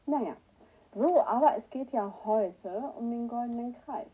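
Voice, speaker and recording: A woman with a cold hosting a casual morning livestream, greeting her viewers by name and clearing her throat often. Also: on the high side, measured pace, warm tone, poor audio. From northern Germany. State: has a cold, hoarse at times. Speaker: livestream host. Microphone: new microphone that is not working right.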